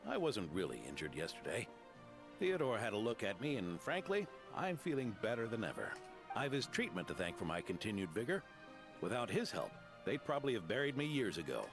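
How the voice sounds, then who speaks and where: An actor playing a kindly, aging grandfatherly man in a video game, speaking in a measured, genial way. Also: fairly low pitch, huge pitch swings. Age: older. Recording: game dialogue over music.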